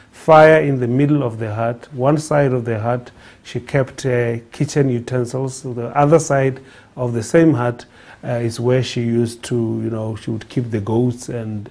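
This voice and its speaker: A man's voice: medium pitch, darkish tone. A man in his forties from South Africa with a South African accent, giving an earnest personal talk full of fillers and restarts. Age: 40s. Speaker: guest speaker. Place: studio with printed backdrop.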